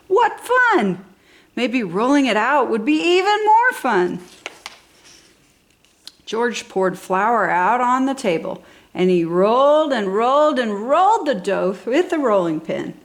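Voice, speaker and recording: Woman, children's storybook narrator, highly varied pitch, enthusiastic, emphatic delivery. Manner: enthusiastic, animated, exaggerated emphasis for young children. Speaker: storybook reader for a toddler channel.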